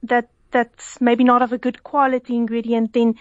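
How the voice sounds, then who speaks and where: A high-voiced South African woman calling in as a researcher guest on a radio show. She explains at length with restarts and giggles often. The line sounds dull and dry, as over a phone. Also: little pitch movement, normal speed, no echo. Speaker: food fraud researcher guest.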